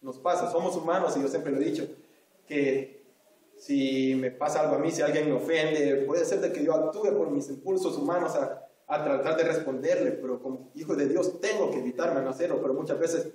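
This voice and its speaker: A man's voice: a little high, steady tone, moderate pace, a little mellow. A man from El Salvador with a Salvadoran Spanish accent, teaching an earnest Sunday school lesson and repeating himself as he addresses his brothers. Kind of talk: sermon. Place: church hall. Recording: very echoey hall, voice from far off.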